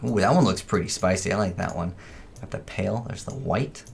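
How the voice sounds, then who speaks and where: A man gives casual gameplay commentary in a fairly low voice. His delivery is informal and a bit rambling, as he thinks aloud for his viewers. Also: a little fast, vocal fry, slight background noise. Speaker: gaming video host.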